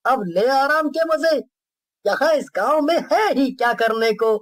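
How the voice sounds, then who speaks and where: Teasing young village guy, high slow voice, dry. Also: expressive. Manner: teasing, chuckling. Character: sensible young village man, teasing friend. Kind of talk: cartoon voice acting.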